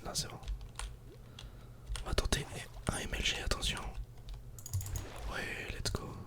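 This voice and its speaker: A woman whispering breathy, unvoiced ASMR commentary while playing a video game, murmuring to herself and to viewers. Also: a little slow.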